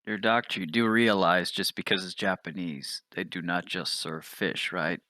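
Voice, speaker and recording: A man voicing a blunt, whiskey-drinking male crewman who makes gruff remarks, over a voice call mic. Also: sits low, fairly even intonation, normal speed, bright, forceful tone, no echo. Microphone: online voice call mic.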